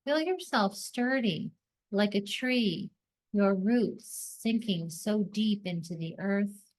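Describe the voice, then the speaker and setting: A woman hosting a guided meditation, speaking in deliberate short phrases with pauses between them, as scripted soothing narration. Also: medium pitch, darkish tone.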